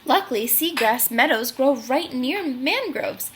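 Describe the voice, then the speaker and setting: A woman's voice: sits high, expressive, a little slow, a bit bright, a little hiss or hum. A woman voicing a small, cheerful but timid male penguin in a children's storybook.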